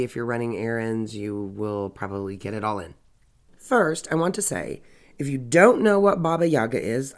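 Woman host with a stuffed-up nose and scratchy throat, dry jokes while telling a crime story. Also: low-pitched. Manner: wry, sarcastic asides. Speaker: podcast host. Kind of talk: casual true crime narration.

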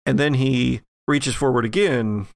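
A man with a heavily creaky, gravelly voice tells what his character does in a tabletop game. His delivery is improvised and conversational, at a middling pitch. The sound is very dry. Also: unhurried, no room sound. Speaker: tabletop role-playing game player. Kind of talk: conversational improvised storytelling, narrating a character's actions.

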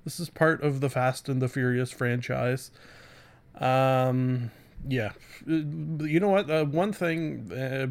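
A man's voice: medium pitch, darkish tone. A man hosting a casual solo podcast, rambling through reviews with self-deprecating asides. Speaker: podcast host.

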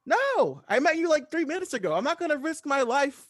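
A man's voice: very high-pitched, big pitch swings, brisk, a bit bright, no room sound, clean studio sound, heavily acted. A man playing an exasperated astronaut captain desperate to get home, argumentative and incredulous, in an improv comedy sketch. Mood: indignant.

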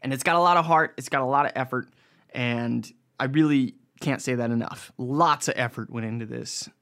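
A man's voice: mid-range voice, a little fast, a bit bright. A young American man hosting a podcast, giving a casual, rambling, self-deprecating solo review in informal language. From United States. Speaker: podcast host.

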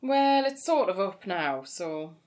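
A woman's voice: medium pitch, sing-song, slow, a little mellow. An actress playing a practical, self-controlled grieving sister and mother in a naturalistic audio drama. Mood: matter-of-fact. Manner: understated, dry humour. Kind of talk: naturalistic audio drama acting, comedy and pathos.

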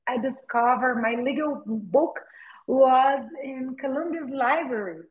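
Slow-speaking Brazilian-accented woman. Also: sits high, dull audio. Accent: Brazilian Portuguese. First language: Portuguese. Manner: hesitant, with frequent "uh" pauses and repeated words. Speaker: guest, attorney and novelist. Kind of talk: conversational interview.

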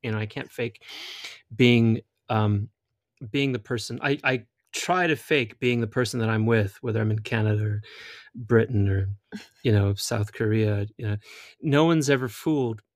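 A man's voice: on the low side, normal speed, no echo. Man, American accent, podcast host, teasing, rambling, dry close mic. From United States. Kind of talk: casual interview chat.

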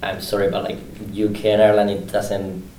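A man's voice: fairly low pitch, flat delivery, a little fast, a little mellow. A seventeen-year-old male exchange student from Spain, a native Spanish speaker, chatting in an interview. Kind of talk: casual interview answers. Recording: roomy, distant mic, faint noise.

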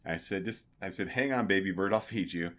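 A man co-hosting a podcast, telling stories in an animated, jokey way. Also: deep voice, fast talker. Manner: animated, jokey anecdote teller. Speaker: podcast co-host. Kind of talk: casual chat.

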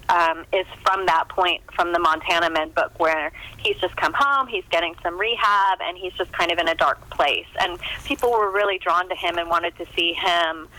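Middle-aged American woman, bright voice, guest author, chatty and rambling, casual interview delivery over the phone. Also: lowish voice, poor audio. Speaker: novelist being interviewed.

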